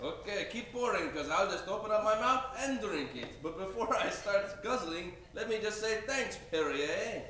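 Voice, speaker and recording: A man's voice: high-pitched, animated, normal speed, hardly any pauses, a bit bright. A man acting a thirsty, effusive Frenchman in a comic old time radio advertising skit. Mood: delighted, gushing. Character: thirsty, gushing French man sampling sparkling water. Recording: echoey room, distant mic, faint noise.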